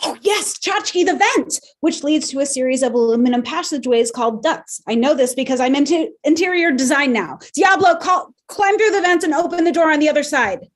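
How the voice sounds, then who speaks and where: A woman plays a self-important cartoon animal with a high voice. The creature explains and gives orders in a pedantic, know-it-all way. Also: expressive, fairly quick, few pauses. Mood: proudly instructive. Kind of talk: table read of animated comedy script.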